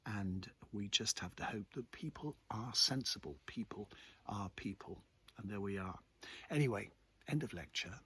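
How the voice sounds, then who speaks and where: A man with a husky, gravelly voice chats casually to his followers as host, in a halting, reflective way with pauses. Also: on the low side, expressive, a bit bright. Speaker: host of a local chat vlog. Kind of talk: rambling casual morning chat to followers. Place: outdoors in a churchyard.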